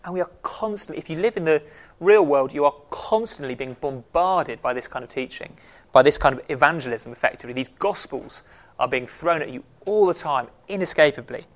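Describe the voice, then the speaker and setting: A man's voice: a little high, expressive, fairly quick. Casual man leading a Bible study. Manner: conversational, with frequent ums and restarts. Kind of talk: casual teaching talk.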